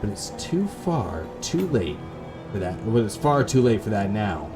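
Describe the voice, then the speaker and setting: Slow, low-voiced man narrating a horror game. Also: big pitch swings. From Canada. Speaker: gamer reading a visual novel aloud. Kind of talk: dramatic reading of game narration with casual asides. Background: atmospheric game music.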